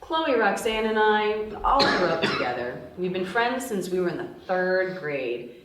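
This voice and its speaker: A woman acts out a ruthless young female thief with a sardonic edge. Her voice is on the low side and very lively in pitch. It is a table read, picked up from a distance with faint noise. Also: measured pace, echoey room. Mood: wry. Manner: brash, swearing, sardonic.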